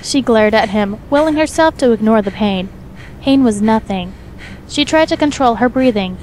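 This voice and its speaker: High-voiced woman narrating dramatic fiction. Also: lively intonation, normal speed, darkish tone, a little hiss or hum, low-quality recording.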